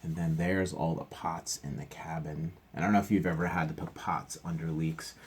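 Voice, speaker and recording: A man teacher reading a picture book aloud to children, stopping for gentle chatty asides. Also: extremely low pitch, fairly even intonation, moderate pace.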